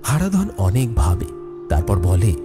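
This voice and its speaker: A man narrating a scripted horror story in an expressive storytelling manner. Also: fairly low pitch, unhurried. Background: music.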